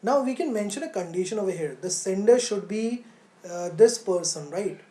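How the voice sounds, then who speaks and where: A woman teaching a live online class step by step, thinking aloud haltingly, repeating key phrases and posing questions that she then answers. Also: medium pitch, animated, dark tone. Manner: poses questions and answers them. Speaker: coding teacher. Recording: roomy, echoey sound.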